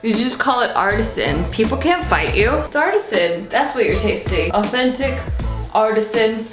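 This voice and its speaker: A woman's voice: a little high, very animated, moderate pace, roomy sound, over music. A woman cooking in her home kitchen, chatting casually and joking at her own expense. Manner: chatty, jokey, self-mocking asides. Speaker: cooking vlogger. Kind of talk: casual cooking vlog chat.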